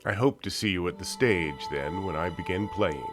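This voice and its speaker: A man playing a graceful, charming fantasy bard with a silky smooth voice and an elegant, courtly manner. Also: low voice, over music, close to an everyday voice. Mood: suave. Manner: unhurried, elegant.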